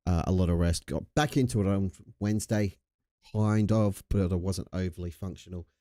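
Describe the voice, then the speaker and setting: A man's voice: low voice, moderate pace, dark tone, no room sound. An Australian man hosting a casual livestream, laid-back, jokey and sweary, getting over a chest infection. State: recovering from a chest infection with a lingering cough. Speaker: livestream host.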